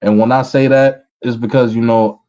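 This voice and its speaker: A man in his thirties with a New York accent, a rapper answering interview questions in a relaxed way. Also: mid-range voice, moderate pace, muffled recording. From Brooklyn, New York, USA. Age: 30s. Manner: relaxed, repeats "feel what I'm saying". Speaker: rapper guest.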